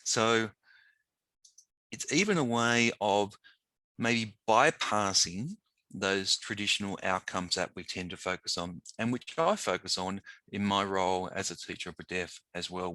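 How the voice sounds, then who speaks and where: Slightly croaky man, bright tone. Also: deep voice, steady tone, moderate pace, dry recording, poor audio. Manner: informal, conversational, with frequent ums and restarts. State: slightly hoarse. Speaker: webinar presenter, teacher of the deaf. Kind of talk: presenting a webinar lecture.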